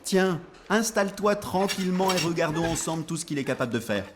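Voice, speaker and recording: A man voicing a friendly, reassuring machine buddy who explains things in a chummy, animated way in an educational cartoon. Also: fairly high pitch, fairly quick, background noise, lightly acted. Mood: explaining, reassuring. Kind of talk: scripted educational cartoon dialogue.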